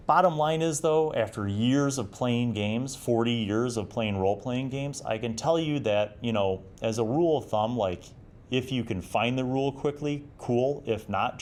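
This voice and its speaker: A man's voice: lowish voice, a little mellow. A man in his forties hosting a review show, speaking in a conversational, explanatory and encouraging way as he gives commentary and reads excerpts. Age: 40s. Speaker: host of a tabletop roleplaying review show. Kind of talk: commentary on magazine articles, reading excerpts and adding advice.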